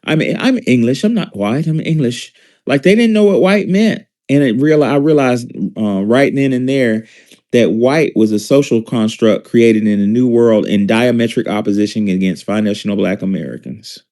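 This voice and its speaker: A man's voice: medium pitch, normal speed, a little mellow. A man from Alabama, hosting a casual commentary show with blunt, emphatic delivery and profane asides. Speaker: host.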